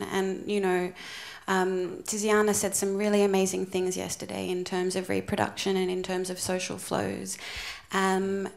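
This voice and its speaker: A woman in the audience giving a loud, hesitant remark with many ums at a conference. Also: fairly low pitch. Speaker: audience participant. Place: conference room in a museum.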